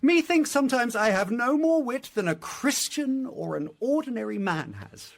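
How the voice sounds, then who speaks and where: An actor playing a foolish, vain and timid knight in a Shakespearean radio comedy, hesitant, fussy and plaintive. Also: male voice, extremely high pitch, animated, moderate pace, vocal fry, heavily acted. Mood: baffled, plaintive.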